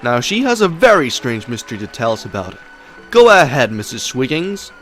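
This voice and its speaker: A man's voice: mid-range voice, very animated, normal speed, fairly bright tone, soft background music. A man playing a glib television panel game compere in a fan audio drama, with patronising showman patter. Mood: showy.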